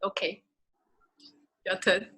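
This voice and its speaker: A woman's voice: slow pace, sharp tone. A woman artist and cultural worker introducing herself and chatting casually about her work in an informal way. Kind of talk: casual introduction in an online conversation.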